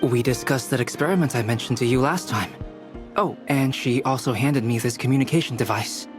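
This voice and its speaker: An actor playing a calm, courteous character in a game cutscene. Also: male voice, mid-range voice, fairly quick, over music, close to an everyday voice.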